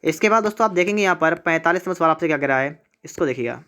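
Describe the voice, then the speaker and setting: A man's voice: on the high side, animated, racing, pushed and bright. A man teaches exam math step by step, repeating himself and asking questions that he answers himself. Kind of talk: exam tutorial, step-by-step problem solving.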